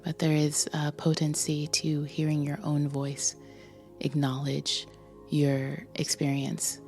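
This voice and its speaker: A woman leading a guided meditation with a soft, breathy voice, gently instructing in short phrases with pauses between them. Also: low voice, completely flat delivery. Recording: music behind the voice. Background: music.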